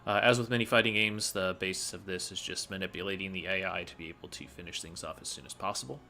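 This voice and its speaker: A man's voice: sits low, fairly even intonation, fast talker, sharp tone, a little hiss or hum. A man giving casual, explanatory commentary over his own video game run. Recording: game music faintly behind. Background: fighting game music.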